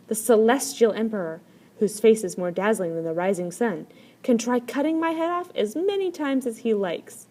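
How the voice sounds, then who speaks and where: A woman's voice: fairly high pitch, expressive, moderate pace, dark tone. A woman voicing a brave, protective brother in a storybook, confident and emphatically reassuring. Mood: bold reassurance. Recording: clear background noise.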